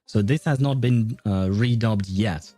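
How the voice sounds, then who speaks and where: A man's voice: fairly low pitch, unhurried, warm tone, no room sound, faint music behind. A man livestreaming a video game, chatting casually with viewers in a conversational, meandering way.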